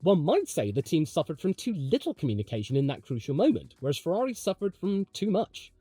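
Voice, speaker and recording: A man presents opinionated motorsport commentary in a slightly high, very lively voice. His tone is mellow, and he leans on emphatic rhetorical questions and wry asides. It reads like a scripted video essay from a show's host. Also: brisk, husky, no room sound.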